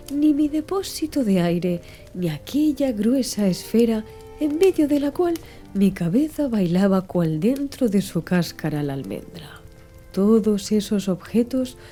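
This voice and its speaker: A woman narrates a literary story in a very mellow, dark voice. Her measured delivery rises and falls expressively, with wide, lively intonation. Music plays faintly beneath her reading. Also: medium pitch. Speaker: audiobook narrator. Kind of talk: scripted literary audiobook narration. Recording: music under the voice.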